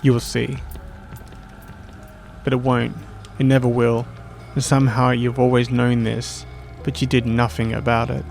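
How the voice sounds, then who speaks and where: Male podcast host, medium pitch with noticeable vocal fry, monologue delivery over a music bed. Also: completely flat delivery, measured pace, darkish tone. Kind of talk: spoken-word monologue and casual podcast sign-off, over music. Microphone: close podcast microphone.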